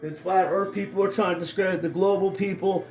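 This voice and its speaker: A middle-aged man with a hoarse voice, ranting angrily and profanely in a rambling home vlog. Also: sits high, steady tone, fairly quick, darkish tone, roomy sound. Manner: angry, profane, rambling rant. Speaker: vlogger. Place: his home in Florida.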